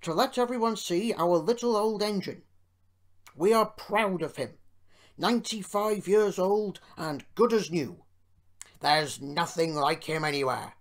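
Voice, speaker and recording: A woman's voice: on the low side, measured pace, pitched above the performer's own voice, lightly acted. A woman voicing a proud railway owner making a hearty public speech in a children's storybook.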